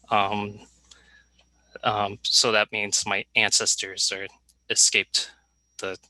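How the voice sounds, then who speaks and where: A young college student speaks slowly and haltingly, pausing often between words. His low voice stays flat in pitch but has a sharp, bright edge. The sound is dry and close. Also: dry recording, rough recording. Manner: halting, hesitant, many pauses.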